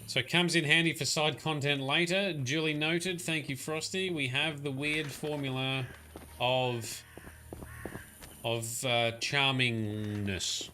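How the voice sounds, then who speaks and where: A man's voice: medium pitch, steady tone, slow, bright, forceful tone, slight background noise. A man giving chatty, rambling live commentary while he plays a game and talks to his chat. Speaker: streamer. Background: faint game music.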